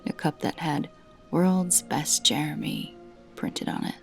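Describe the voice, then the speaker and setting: A woman narrating a scripted first-person creepy story in a measured way, with dramatic pauses between short phrases. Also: low-pitched, gravelly, faint music behind.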